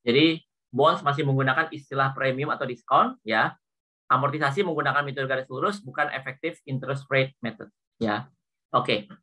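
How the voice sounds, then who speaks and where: Bright-voiced Indonesian man giving a lecture. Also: medium pitch, normal speed. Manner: repeats points, frequent confirming tags. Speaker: accounting lecturer. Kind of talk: informal lecture, explaining worked problems.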